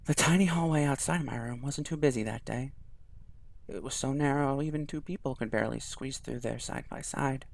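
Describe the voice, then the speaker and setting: A man reading a visual novel aloud in a quiet, even storytelling voice. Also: mid-range voice, moderate pace, gravelly.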